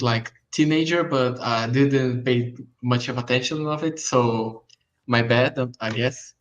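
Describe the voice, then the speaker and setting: A young man in his twenties with a Portuguese accent, a non-native English speaker pitching his college project in a halting way, full of fillers and restarts. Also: a bit bright. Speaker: presenter at demo day. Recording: dull lo-fi sound.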